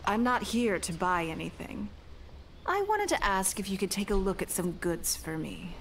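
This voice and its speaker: An actress voicing a poised, shrewd noble leader in a video game, speaking in a composed, measured, authoritative way, with music playing behind. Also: female voice, mid-range voice, expressive, a little hiss or hum, lightly acted. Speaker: game character.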